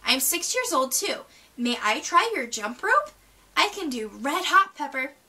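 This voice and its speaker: Woman voicing a bossy, high-pitched little bear girl. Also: sing-song, measured pace, pushed and bright, recorded from a distance, full character voice. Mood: boastful. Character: bossy, braggy, lively six-year-old girl bear cub.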